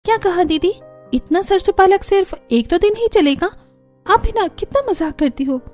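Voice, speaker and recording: A woman's voice: very high voice, animated, normal speed, a little mellow, faint music behind, voice pushed up. A woman voicing a naive young newlywed daughter-in-law who exclaims and questions in a cartoon story. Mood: surprised.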